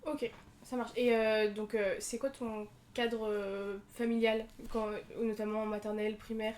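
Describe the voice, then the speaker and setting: Soft-spoken woman podcast host. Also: a little high, flat delivery, a little slow. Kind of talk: scripted intro, then casual interview.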